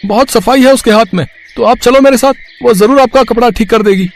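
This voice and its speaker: High-pitched, creaky, humble auto driver played by a man. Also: a little fast, soft background music. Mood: helpful, reassuring. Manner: deferential, hesitant, reassuring. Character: humble, helpful middle-aged male auto-rickshaw driver. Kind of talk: cartoon story dialogue.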